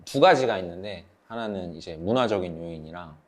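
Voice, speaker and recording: A man's voice: low-pitched, animated, fairly quick. A man in his thirties from South Korea telling personal stories in a casual group chat. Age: 30s. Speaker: channel member sharing study-abroad experience.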